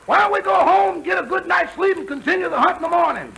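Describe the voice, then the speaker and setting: An actor playing a put-upon, raspy-voiced servant eager to get away in a 1940s radio comedy. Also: male voice, very high-pitched, animated, fast, exaggerated voice. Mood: weary, wheedling. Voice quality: raspy, gravelly. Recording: crackly 1940s radio broadcast.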